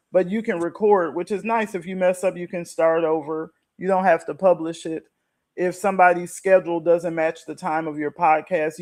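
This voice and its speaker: A man's voice: sits high, darkish tone. A man talking casually as a podcast guest, explaining things in a conversational way. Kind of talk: casual conversation about online platforms.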